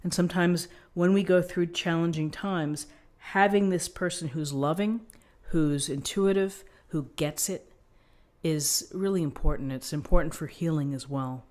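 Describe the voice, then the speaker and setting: A woman's voice: sits low, a little slow, darkish tone. Woman giving an encouraging tarot reading. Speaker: tarot reader.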